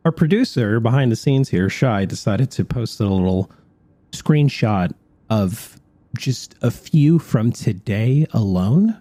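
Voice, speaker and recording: A man's voice: mid-range voice, lively intonation, slow, warm tone, no echo. A man co-hosting a radio show, explaining things in a conversational talk-show style.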